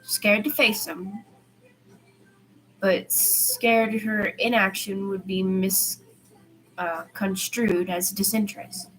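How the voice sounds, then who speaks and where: A woman's voice: mid-range voice, steady tone, slow pace, long pauses, fairly bright tone, a little hiss or hum, low-quality recording. A woman reading a story aloud in an informal way, stumbling over words now and then and correcting herself. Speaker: amateur fan fiction podfic reader.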